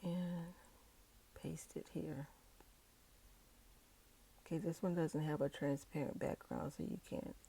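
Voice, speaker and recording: Low, raspy woman with a cold. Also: fairly even intonation, warm tone. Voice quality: raspy, froggy voice. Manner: unhurried, thinking aloud with frequent okays and uhs. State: hoarse from a cold. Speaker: digital planner video creator. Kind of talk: casual talk-through while decorating a planner. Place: indoors at a tabletop, hands working an overhead-filmed tablet.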